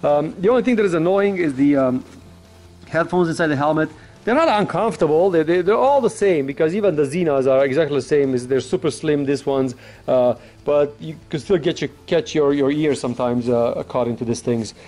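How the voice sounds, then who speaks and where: A man's voice: fairly high pitch, a little fast, a little mellow. A man giving a casual, unscripted product review in an informal, blunt, rambling way, like a vlogger.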